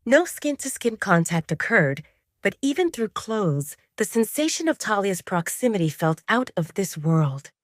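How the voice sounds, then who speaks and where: A woman narrating scripted romance fiction in an expressive, dramatic storytelling manner. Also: mid-range voice, a little slow, no echo, clean studio sound.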